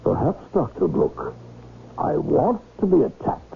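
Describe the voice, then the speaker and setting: An actor playing a nervous, secretive gentleman with formal, halting, emphatic delivery in an old-time radio drama. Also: fairly high pitch, wildly swooping pitch, vocal fry, heavily acted. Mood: secretive, commanding. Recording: lo-fi radio broadcast, faint noise.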